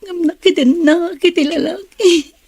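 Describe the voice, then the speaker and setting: A woman's voice: very high voice, unhurried, a little mellow, dry recording. An actress playing a scheming but remorseful young woman in an emotional Ilocano radio drama. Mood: anguished, tearful.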